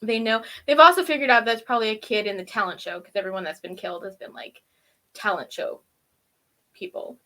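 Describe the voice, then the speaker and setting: A woman giving a casual, chatty episode recap as a show co-host, giggly and animated, acting out imagined reactions. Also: mid-range voice, a little fast.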